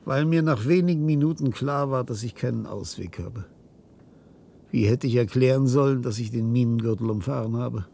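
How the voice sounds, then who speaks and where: An actor playing a weary, guilt-ridden old inventor in a science-fiction radio drama, halting and theatrical. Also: male voice, mid-range voice, vocal fry, slightly rough. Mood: despairing, resigned. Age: older. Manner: halting, theatrical delivery with hushed passages.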